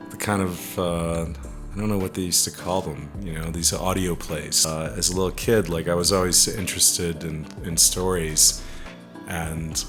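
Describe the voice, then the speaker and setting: Very low-voiced, hesitant man. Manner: hesitant, searching for words. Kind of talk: casual interview talk.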